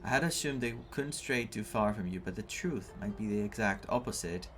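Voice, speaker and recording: A man playing a serious, reserved and loyal young traveling companion in a video game, speaking in a measured, earnest, restrained way. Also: on the low side, lively intonation, fairly bright tone, slight background noise. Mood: grave, resolute. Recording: game music under the voice.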